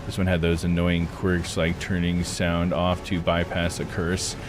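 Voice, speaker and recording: A man's voice: low voice, monotone, a little slow, noisy background. A man live-streaming a visual novel, reading its story aloud and chatting casually with viewers. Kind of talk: visual novel playthrough, narration and casual chat. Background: game music.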